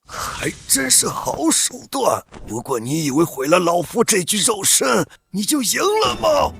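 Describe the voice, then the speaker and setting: A man voicing a sinister, fanatical old cultivation elder in an animated comic, with a creaky, gloating, theatrical delivery. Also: sits high, measured pace, soft background music, exaggerated voice. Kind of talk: dramatic animated-comic dialogue.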